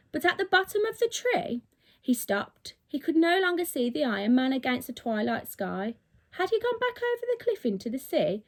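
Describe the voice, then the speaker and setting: A woman with a fairly high-pitched voice reads a children's storybook. Her delivery is expressive and emphatic, with the dramatic shaping of a teacher telling a story to young pupils.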